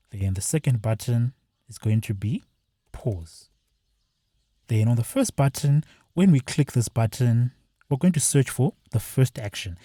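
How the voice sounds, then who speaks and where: Husky-voiced man walking through software. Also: mid-range voice, dry recording. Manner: plain step-by-step explaining. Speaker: software tutorial presenter. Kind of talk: screen-share walkthrough.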